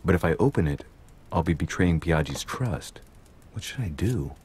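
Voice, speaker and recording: An actor playing a pensive young gentleman investigator, musing wryly to himself in an understated way in a video game. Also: deep voice, lively intonation, normal speed, husky, full character voice. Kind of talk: video game monologue acting.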